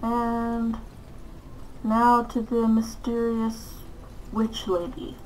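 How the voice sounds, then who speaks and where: Slow-paced woman reading game dialogue aloud. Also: fairly high pitch, monotone, darkish tone, a little hiss or hum, poor audio. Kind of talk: casual role-play story-time narration of a video game.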